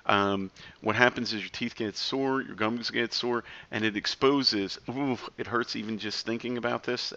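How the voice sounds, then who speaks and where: A man's voice: mid-range voice, pushed and bright, dull audio. A man in his forties giving casual, rambling advice to camera with chatty self-deprecating asides. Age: 40s. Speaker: health vlogger.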